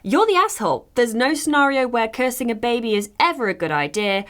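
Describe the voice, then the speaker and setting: A woman playing a fairytale podcast host, reading advice posts aloud in an expressive, conversational way. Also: fairly high pitch, fairly quick.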